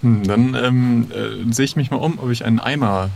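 A man's voice: mid-range voice, normal speed, vocal fry, a little mellow. A man taking part as a player in casual improvised role-play, thoughtfully feeling his way through ideas. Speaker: player in an adventure game.

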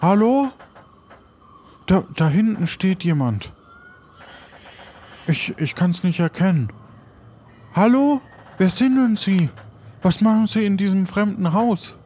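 A man's voice: high-pitched, lively intonation, slow, pauses often, dark tone. A man improvising in an audio play, speaking in a hesitant, stammering way. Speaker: player in an improvised adventure. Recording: clear background ambient noise.

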